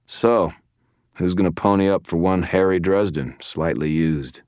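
Guy doing a wisecracking audiobook hero, very low male voice, sardonic, defiant, dry sound. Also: measured pace, a little mellow, no room sound. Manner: sardonic, stalling. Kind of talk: audiobook character voice.

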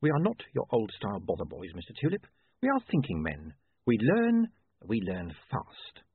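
A man voicing a calm, scheming criminal who talks deliberately and condescendingly in an audiobook. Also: on the low side, slightly rough, no echo, phone-quality sound, full character voice. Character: smooth-talking, scheming male criminal, calm and patient.

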